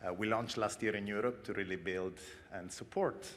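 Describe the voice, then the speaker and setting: An Italian man with a very low voice introduces himself on a conference panel, easygoing and a little self-deprecating, with plenty of "uh" between his phrases. His voice rings in the long reverb of a large hall. Faint room ambience hums underneath. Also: moderate pace, a little hiss or hum. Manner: relaxed, self-deprecating, many fillers.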